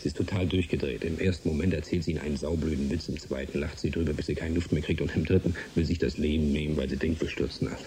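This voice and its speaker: A man's voice: very deep voice, steady tone, quick pace, hardly any pauses, mellow, slight background noise. A man playing an offhand, middle-aged engineer and family man in a German science fiction radio drama, talking in a colloquial, flippant way. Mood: flippant, exasperated.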